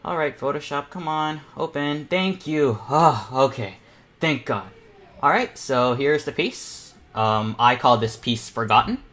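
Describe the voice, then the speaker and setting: A young man in his teens talks casually on a livestream as its host. His voice sits at an average male pitch. He comes across as nervous and excitable, wandering from one thought to the next. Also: unhurried. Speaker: streamer and painting panel host. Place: indoors at a computer, live stream.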